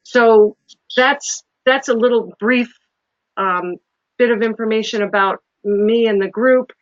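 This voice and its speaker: Woman, travel agent, chatty presenter, higher voice, dull dry recording. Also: slow pace, no echo. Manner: chatty, rambling with restarts. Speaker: travel agent and group organizer. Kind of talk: informal presentation to a group.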